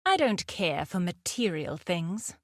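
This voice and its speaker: Low-voiced, fierce huntress. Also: female voice, sing-song, slow pace, no echo, clean studio sound, heavily acted. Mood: guarded, persuasive. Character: fierce, guarded huntress outlaw, wry nature lover. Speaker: video game character voice actor. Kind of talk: scripted game character lines.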